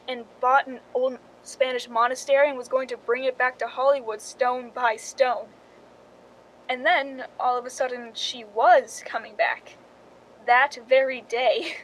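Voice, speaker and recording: Wry, high-voiced woman acting a stand-in narrating. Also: a little hiss or hum, lightly acted, poor audio. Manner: wry, confiding. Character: wry, resentful female movie star's stand-in, narrating. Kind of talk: radio drama narration.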